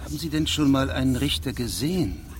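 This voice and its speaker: An actor in a radio drama playing a stern judge who questions people with measured authority. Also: male voice, medium pitch, animated, slight background noise, natural voice. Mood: probing. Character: stern, probing traveling judge of fifteen years' standing.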